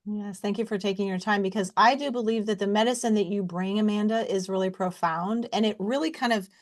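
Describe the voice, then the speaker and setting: Woman, podcast co-host, slow thoughtful delivery, mid-pitched. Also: steady tone. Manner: slow, thoughtful, searching for words. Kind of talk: casual podcast chat.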